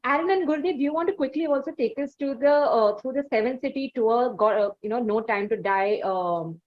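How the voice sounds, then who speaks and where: A woman's voice: fairly high pitch, fairly quick, muffled recording. A woman with an Indian English accent hosting a conversational interview, asking long, hesitant, roundabout questions. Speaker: interviewer, show host.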